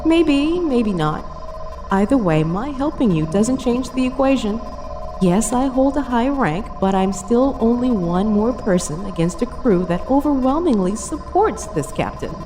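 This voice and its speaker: A woman's voice: on the high side, lively intonation, a little slow, noisy background. A woman playing a cool, analytical senior starship officer in a sci-fi audio drama, dry and precise in manner. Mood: sceptical. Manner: dry, precise, matter-of-fact.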